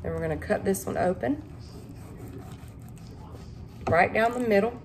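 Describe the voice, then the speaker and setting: A woman's voice: mid-range voice, a little slow, creaky, a little hiss or hum. A woman, talking viewers through a recipe in a casual, folksy way in her home kitchen. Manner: chatty, folksy, addresses viewers as y'all. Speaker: home cooking vlogger. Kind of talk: casual cook-along talk to camera.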